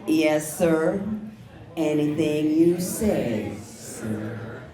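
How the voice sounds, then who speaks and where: A woman voicing a meek male sheriff who stammers and fawns in a low mumble. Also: expressive, very slow, reverberant, music in the background, full character voice.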